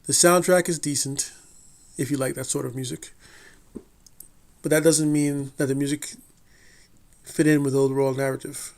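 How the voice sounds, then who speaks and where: A man reading a scripted TV review in a measured, deliberate way, with the odd slip and restart. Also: medium pitch, little pitch movement, a little mellow. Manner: measured, deliberate delivery with occasional slips and restarts. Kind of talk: scripted review read aloud.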